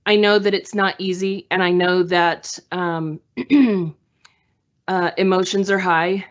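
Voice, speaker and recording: A woman school board member joining a meeting by video call, giving her remarks haltingly with frequent pauses and fillers. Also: on the low side, steady tone, lo-fi sound.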